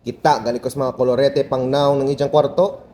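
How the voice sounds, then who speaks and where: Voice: mid-range voice, level tone, brisk, mellow, close to an everyday voice. A performer playing a stern middle-aged father in an amateur radio drama, questioning and disapproving. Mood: skeptical. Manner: questioning, scolding.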